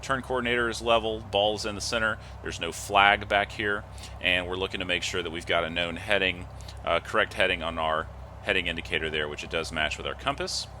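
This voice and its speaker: A man's voice: low-pitched, fairly even intonation, fairly quick, sharp tone, slight background noise. A man giving methodical, instructional step-by-step flight simulator commentary, reading off checklist items. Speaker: host of a flight simulator video series.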